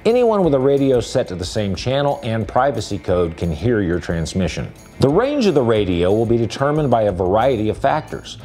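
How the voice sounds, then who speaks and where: A man's voice: fairly low pitch, very animated, a little fast, few pauses, warm tone, faint music behind. A man giving a scripted explainer voice-over about handheld radios as a store's product presenter.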